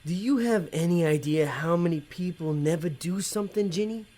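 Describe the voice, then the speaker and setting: A man's voice: on the high side, moderate pace, dark tone, natural voice. A man playing a conflicted, working-class demon tempter in an audio drama, talking in a colloquial, hesitant way. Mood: encouraging.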